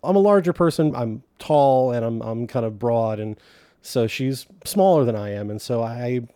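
A man with a naturally loud voice speaking carefully and deliberately as an interviewee. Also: medium pitch, animated, no room sound, clean studio sound.

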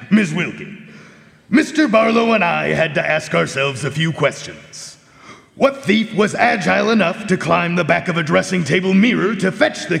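A man's voice: sits high, gravelly, fairly bright tone, exaggerated voice. A man playing a clever, courteous private eye in a live radio mystery, explaining things in a formal, showman-like way. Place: live performance hall. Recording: reverberant stage in a large hall.

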